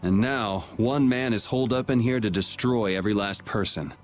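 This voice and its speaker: A man's voice: fairly low pitch, moderate pace, faint music behind, close to an everyday voice. An actor voicing a resolute, casual young male leader in a video game, dry and firm. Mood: grave.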